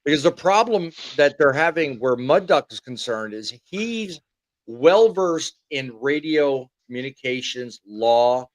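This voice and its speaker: A man hosting a live-streamed call-in chat show, explaining technical details at length and chiming in often with quick agreement. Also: medium pitch, animated, no room sound, rough recording. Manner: explains technical points at length, frequent "yeah". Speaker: show host.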